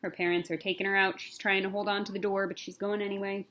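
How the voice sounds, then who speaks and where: A woman reading a picture book as a pre-K teacher, expressive, with simple explanatory asides for young children. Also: mid-range voice, quick pace.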